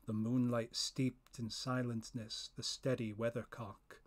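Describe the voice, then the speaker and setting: Slow, solemn man reading poetry. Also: medium pitch, level tone. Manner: solemn, theatrical, deliberate. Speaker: poetry reader, professor. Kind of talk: dramatic reading of narrative poem.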